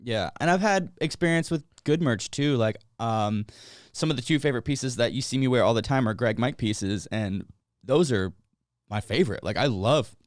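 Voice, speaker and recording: Earnest young man, smooth clear voice. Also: medium pitch, moderate pace, dry recording, clean studio sound. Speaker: podcast host. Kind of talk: casual chat.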